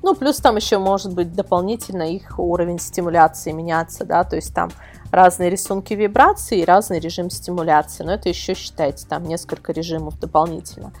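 A woman's voice: lowish voice, brisk, darkish tone. Chatty woman explaining, music behind. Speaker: guest expert, portal editor. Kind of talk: casual podcast interview, explaining. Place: remote video call.

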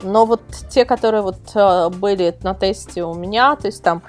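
A woman's voice: mid-range voice, moderate pace. Woman guest chatting emphatically, music behind. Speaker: guest, product reviewer and website editor. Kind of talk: casual podcast discussion.

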